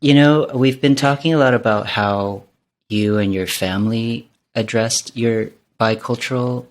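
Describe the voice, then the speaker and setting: A man's voice: medium pitch, measured pace. A man born in Vietnam hosting a casual interview, responding with encouraging, affirming remarks. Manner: warm, encouraging, affirming replies. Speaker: podcast host.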